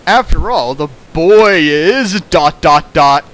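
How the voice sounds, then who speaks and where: Male co-reader, fairly high, very animated pitch, hammy and sarcastic reading with asides, faint hiss behind. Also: slow pace. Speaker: co-host reading fan fiction aloud. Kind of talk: mocking dramatic reading with asides. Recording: faint hiss, home recording.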